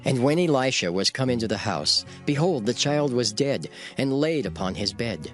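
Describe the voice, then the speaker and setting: A man narrating dramatized scripture in a measured, solemn storytelling manner. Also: medium pitch, expressive. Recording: faint music behind the voice.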